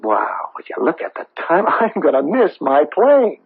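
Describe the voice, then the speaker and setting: An actor playing an affable, oblivious middle-aged husband in a radio drama, halting and folksy as he placates. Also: fairly high pitch, very animated, vocal fry, slightly rough, full character voice. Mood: affable, apologetic. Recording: tinny, band-limited vintage radio recording.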